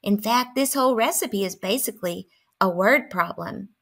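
A woman's voice: medium pitch, moderate pace, vocal fry, fairly bright tone. A woman playing a grumpy, dramatic math skeptic who complains and exclaims in a children's storybook. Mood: musing.

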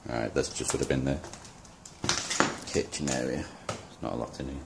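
A man from the UK exploring a dark abandoned pub, talking to his handheld camera in low, muttered asides. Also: fairly even intonation, normal speed, creaky, heavy background noise. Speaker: urban explorer. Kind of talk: quiet solo exploring commentary.

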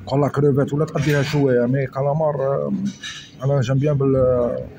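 Food vlogger, male, Oran Algerian Arabic accent, relaxed chatty delivery, phone recording with steady background noise outdoors. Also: mid-range voice, racing. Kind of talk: casual chat while eating. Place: outdoor restaurant terrace. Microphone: phone camera held over the plate.

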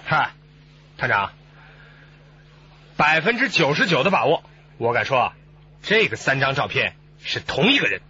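Radio drama: jokey junior detective, animated delivery, Mainland Mandarin accent, slightly dull recording. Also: male voice, mid-range voice, moderate pace, long pauses. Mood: focused. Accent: Mainland Chinese Mandarin. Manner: exclamatory, teasing. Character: chatty, joking junior male police detective. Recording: slightly muffled broadcast with faint noise.

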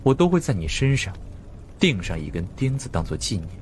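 A man voicing a menacing, coolly confident ghost hunter in an audiobook drama, taunting with deliberate threats. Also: medium pitch, big pitch swings, darkish tone, a little hiss or hum, close to an everyday voice. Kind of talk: dramatized audiobook character acting.

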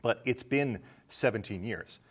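American man, thirties, slightly low voice, easygoing film talk show host. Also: measured pace, husky. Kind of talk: casual film discussion. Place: small indoor studio set with curtains.

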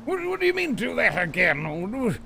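A man plays an eccentric, cranky old crook in a game roleplay. The voice is high and sharp with a gravelly creak. He rambles brazenly, stretching out his words. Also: wildly swooping pitch, normal speed, a little hiss or hum, heavily acted. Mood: rambling, defensive.

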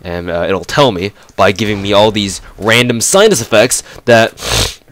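Young male gamer with a stuffy nose, low-pitched and swinging widely; cussing, mocking rant. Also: lively intonation, unhurried, fairly bright tone. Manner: ranting, profane, mocking. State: congested from a cold. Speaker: gamer commentator. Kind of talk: casual gameplay commentary.

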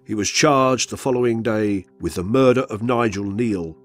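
A man reading a scripted true-crime documentary for radio in a measured, factual manner. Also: lowish voice, soft background music. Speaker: narrator, reader of a true-crime story.

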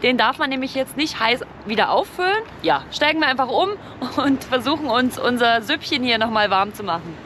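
A woman's voice: sits high, expressive, fairly quick. A woman narrating a casual camping vlog in a chatty, informal way, explaining as she goes. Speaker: camping vlogger. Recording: clearly noisy, outdoor and vehicle ambience.

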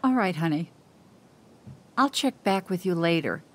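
An actress playing a kindly, concerned older woman neighbor in a video game, gentle and motherly while probing with her questions. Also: fairly low pitch, lively intonation, a little slow.

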